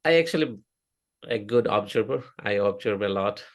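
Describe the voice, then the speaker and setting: Middle-aged Indian-accented man, Oriya-speaking, gravelly low voice, hesitant interview guest. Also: expressive. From India (Odisha). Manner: halting, many fillers and restarts. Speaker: interview guest, software engineer. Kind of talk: casual interview talk.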